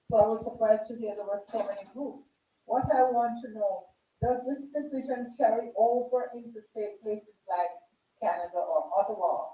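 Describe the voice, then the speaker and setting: A woman's voice: on the high side, normal speed, warm tone. A woman in the audience asking a curious, hesitant question, heard from across the room without a microphone. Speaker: audience member. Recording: distant, roomy off-mic voice.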